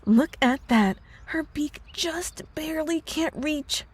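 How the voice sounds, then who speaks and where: A woman performs a possessive young witch girlfriend from an ASMR audio drama. Her voice sits a little high and moves slowly, stretching words in a sugary coo. Underneath the sweetness runs a controlling, gaslighting menace. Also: no echo. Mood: cooing, gleeful.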